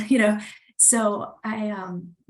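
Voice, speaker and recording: A woman's voice: mid-range voice, fairly even intonation, slow. A woman from the American South with a Southern accent, a hand therapist guest chatting enthusiastically on a podcast. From Southern United States. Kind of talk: casual podcast chat.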